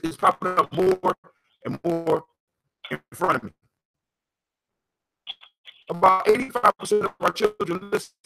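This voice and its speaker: Creaky voice, American accent, emphatic repetition, impassioned conversational teaching, music underneath. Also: mid-range voice, rough recording. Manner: emphatic, repeats phrases, checks in with "you know what I'm saying". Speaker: host of a call-in talk on folktales.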